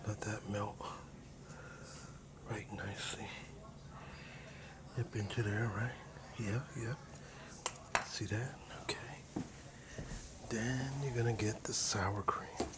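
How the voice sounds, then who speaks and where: A man hosting a cooking ASMR video in a casual, playful, soft-spoken way, often slipping toward a whisper and repeating his phrases. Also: fairly low pitch, fairly even intonation, takes it slowly, pauses often. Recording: clear sizzling and frying noise. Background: food sizzling, kitchen stirring sounds.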